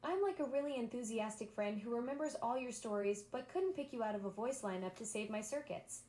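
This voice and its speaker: A woman's voice: fairly high pitch, brisk, dark tone, roomy sound. A synthetic female voice assistant talking in a bubbly, enthusiastic, eager-to-please way. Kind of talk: upbeat synthetic assistant chat.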